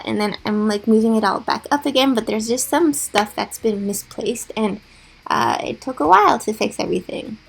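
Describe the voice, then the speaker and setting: Raspy young woman, chatty and self-deprecating. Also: on the high side, poor audio. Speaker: gaming YouTuber. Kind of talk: casual voice-over of a drawing timelapse.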